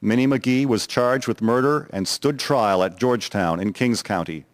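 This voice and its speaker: A man giving a solemn, measured scripted narration of a historical drama. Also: medium pitch, no room sound.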